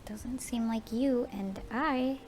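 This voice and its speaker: A woman's voice: on the high side, slow pace, darkish tone. A woman streaming a game playthrough, reacting casually with playful, muttered asides. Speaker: gaming streamer. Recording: game music behind the voice.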